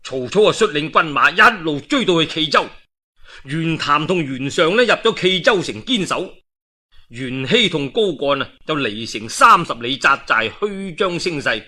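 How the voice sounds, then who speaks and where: A man with a Cantonese accent telling a historical tale in a dramatic, colloquial and expressive oral storytelling style. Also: a little high, a little slow. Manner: colloquial and expressive, with rhetorical asides.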